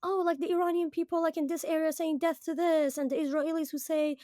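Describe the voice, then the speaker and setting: A woman's voice: very high-pitched, little pitch movement, quick pace, warm tone, no room sound, clean studio sound. A young adult woman from Iran, co-hosting a podcast in casual chat, talking candidly with many fillers and restarts. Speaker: podcast co-host.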